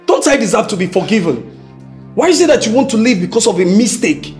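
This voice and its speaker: A man acting as a husband and father who pleads with his wife and then confronts her loudly. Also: high-pitched, sing-song, brisk, soft background music. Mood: pleading. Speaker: actor.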